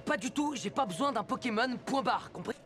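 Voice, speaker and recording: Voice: medium pitch, fairly quick, natural voice. A performer playing a skeptical, exasperated young man with a dry, reluctant manner in a French film fan dub. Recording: music behind the voice.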